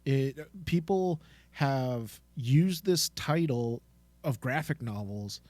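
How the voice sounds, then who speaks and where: A man's voice: medium pitch, expressive, slow, a little mellow, no echo. A man co-hosting a podcast, speaking casually and enthusiastically. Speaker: podcast co-host.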